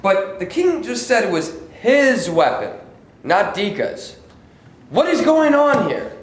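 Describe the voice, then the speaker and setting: A man's voice: high-pitched, big pitch swings, slow pace, exaggerated voice. A man playing a wounded caveman warrior in a staged reading, stammering and pleading as he talks. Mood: anxious. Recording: echoey hall.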